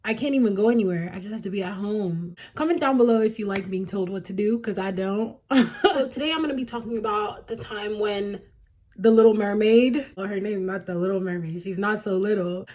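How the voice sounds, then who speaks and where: A woman's voice: mid-range voice, darkish tone. A woman from Cameroon, a vlogger casually telling a story in a chatty, rambling way, often correcting herself and laughing.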